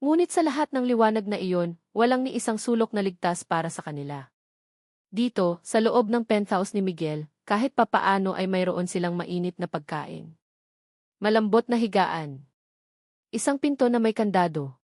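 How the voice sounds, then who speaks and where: A woman narrates a romance story in a measured, dramatic way, her pitch sitting in the middle of the range. The recording is dry and close, with no room sound. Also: lively intonation, a little mellow, dry recording.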